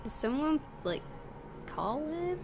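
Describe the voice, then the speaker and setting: A woman's voice: high-pitched, very animated, takes it slowly. A woman hosting a gaming video, reading a visual novel aloud and adding casual commentary. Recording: game music behind the voice.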